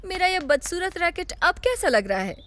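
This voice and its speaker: A woman playing a brainy, bossy, energetic girl in a cartoon dub, speaking briskly and assertively. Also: extremely high pitch, background noise. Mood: determined. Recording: music behind the voice.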